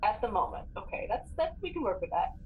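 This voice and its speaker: A woman's voice: fairly high pitch, very animated, fast, narrow, tinny audio, slight background noise. A woman playing a tabletop role-playing game, casually talking through her decisions.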